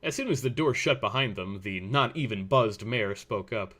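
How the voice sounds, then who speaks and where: A man serves as narrator for a piece of fiction. His voice sits fairly low as he reads the scripted prose. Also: animated, moderate pace, fairly bright tone.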